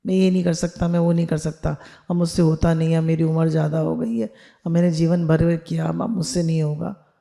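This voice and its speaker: A woman's voice: low voice, steady tone, fairly quick, vocal fry, dark tone. A woman spiritual teacher on a video call, leading listeners through affirmations they repeat after her, mixing Hindi with English phrases.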